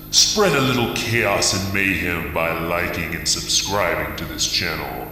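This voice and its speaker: A man's voice: low voice, sharp tone. A man giving a hammy, macabre, scripted introduction as the host of a horror show. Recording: echoing long reverb with music. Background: music.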